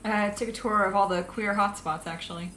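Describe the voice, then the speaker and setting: A woman's voice: mid-range voice, fairly even intonation, a little fast, a bit bright, lightly acted. Echoey, pragmatic young villain's accomplice played by a woman. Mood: polite. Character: Ether, pragmatic, unapologetic young villain's accomplice and best friend. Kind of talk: naturalistic audio drama acting. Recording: echoey room with faint background noise.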